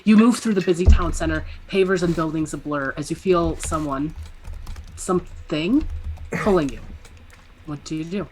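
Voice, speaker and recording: A woman narrating a tabletop game as its game master, easygoing and quick to laugh. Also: low-pitched, a little mellow, a little hiss or hum, poor audio.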